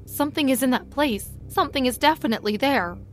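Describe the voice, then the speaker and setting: A woman's voice: sits high, fast, voice pushed up, lightly acted. A woman voicing a frightened young woman who pleads anxiously. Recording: faint music behind.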